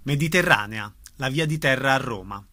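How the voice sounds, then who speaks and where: A man hosting a radio programme, announcing scripted listings in a clearly enunciated presenter delivery. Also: mid-range voice, expressive, moderate pace, sharp tone. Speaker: radio host.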